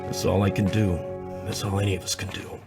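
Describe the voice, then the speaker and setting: Worn-out veteran drifter, deep and gravelly, audio drama over loud guitar music. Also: male voice, little pitch movement, a little fast. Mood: weary, bitter. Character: world-weary male war veteran with failing prosthetics, drifting. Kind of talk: audio drama acting, dystopian western. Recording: loud music and effects underneath.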